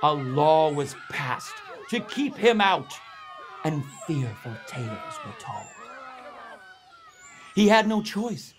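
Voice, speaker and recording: A man giving a theatrical, expressive read-aloud of a rhyming children's story. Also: on the high side, slow, long pauses, slight background noise. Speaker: storybook reader.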